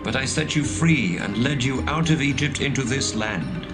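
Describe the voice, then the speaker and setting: A man's voice: mid-range voice, lively intonation, moderate pace, loud music behind the voice, natural voice. An actor playing a solemn male prophet who proclaims a divine message in a dramatised audio Bible.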